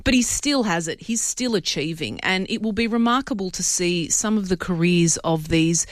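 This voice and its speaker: A woman whose was Croatian talks football with enthusiasm in long flowing runs. Her voice sits slightly low. The sound is dry and close. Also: expressive, moderate pace, no echo. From Australia. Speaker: football commentator guest. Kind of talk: casual radio chat.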